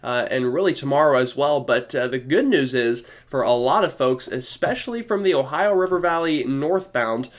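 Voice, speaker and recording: A man's voice: mid-range voice, animated, normal speed, hardly any pauses. A young man with a cold, sounding congested, giving a casual weather forecast with lots of fillers. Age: young adult. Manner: chatty, many fillers.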